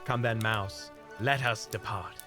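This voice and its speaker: A man's voice: lowish voice, fairly even intonation, unhurried, over music, lightly acted. A man acting a charming, unflappable young hunter in a fantasy audio drama, courteous, playful and musing. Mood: eager.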